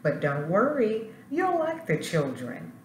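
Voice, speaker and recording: A woman playing a kindly male school janitor in a children's storybook, calm and reassuring. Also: mid-range voice, slow pace, voice pushed up. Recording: roomy echo, faint background noise.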